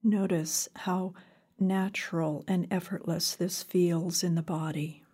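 A woman leading a guided meditation in a calm, gentle, unhurried way with long pauses, her voice soft and breathy. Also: lowish voice. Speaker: meditation teacher. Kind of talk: guided body scan meditation.

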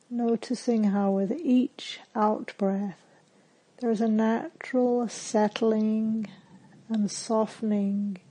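Slow, very mellow woman guiding meditation. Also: medium pitch, rough recording. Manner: calm, gentle, soothing, with long pauses. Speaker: meditation teacher. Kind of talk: guided meditation.

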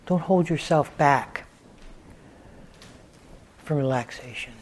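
A man teaching a guided meditation in a calm, gentle manner, leaving long pauses between phrases. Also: a little high, a little hiss or hum. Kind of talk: guided meditation, unscripted instruction.

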